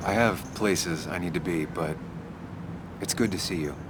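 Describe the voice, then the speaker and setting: A man's voice: low-pitched, normal speed, background noise, lightly acted. An actor playing a guarded, dry-witted American journalist in his thirties, understated, in naturalistic video game style. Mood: guarded, friendly.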